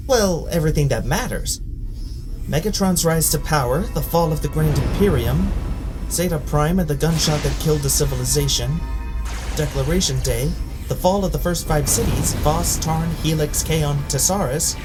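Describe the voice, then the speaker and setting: Woman acting a low-voiced, precise archivist robot. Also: moderate pace, over music, lightly acted. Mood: solemn. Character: small, precise archivist robot, solemn chronicler. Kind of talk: audio drama acting.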